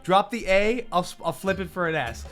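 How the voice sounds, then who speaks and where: A man's voice: high voice, fairly quick, fairly bright tone, faint music behind. A man hosting a casual chat interview, talking in an enthusiastic, slangy way. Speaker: interview show host.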